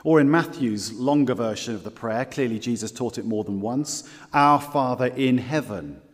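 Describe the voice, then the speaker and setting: A man preaching a sermon as pastor, earnest and measured, repeating key phrases and slowing down for scripture quotes. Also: medium pitch, long reverb tail.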